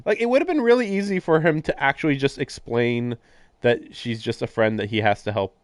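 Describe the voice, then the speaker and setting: A man's voice: mid-range voice, lively intonation, fairly quick, no room sound. Man streamer reading a visual novel, dry sound. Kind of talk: reading narration aloud with casual commentary.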